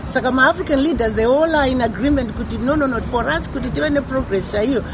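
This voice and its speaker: A woman's voice: sits high, fast talker, highs cut off. A woman being interviewed, speaking emphatically and repeating words for stress. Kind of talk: interview, mixing Shona and English. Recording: noisy outdoor recording by traffic.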